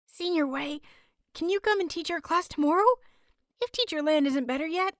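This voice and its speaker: Woman voicing an excitable, high-pitched little boy. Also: normal speed, no room sound, clean studio sound. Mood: hopeful. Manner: breathless, eager, childlike. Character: small excitable young boy disciple, eager and adoring.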